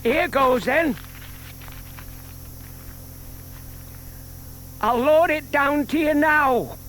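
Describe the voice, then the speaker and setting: An actor playing a shy, scheming older gentleman who explains things patiently and politely in a children's audio drama. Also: extremely high pitch, a little slow. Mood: eager, polite. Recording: audible hiss from old cassette tape.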